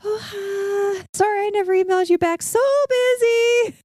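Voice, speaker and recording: A woman's voice: extremely high pitch, moderate pace, darkish tone, dry recording. Self-deprecating North American woman, dry close sound. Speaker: podcast host. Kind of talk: casual chat, animated anecdote telling.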